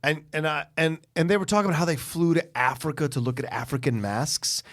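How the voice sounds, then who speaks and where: Male podcast co-host, slightly high voice, very animated intonation, exasperated and sweary, informal conversational delivery. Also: a little fast. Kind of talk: casual movie chat, loose and profane.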